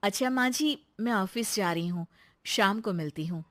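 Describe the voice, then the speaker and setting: A woman voicing a confident elder daughter-in-law in a family story, brisk, courteous and composed. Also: mid-range voice, lively intonation, fairly bright tone, close to an everyday voice.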